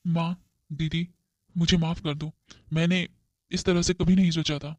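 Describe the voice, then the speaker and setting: Cartoon son male actor, young adult, slightly high voice, flat melody, earnest, dry close sound. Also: unhurried, no room sound, close to an everyday voice. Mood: remorseful, earnest. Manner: insistent, earnest. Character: practical, stubborn young adult son and brother. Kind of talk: amateur cartoon voice acting.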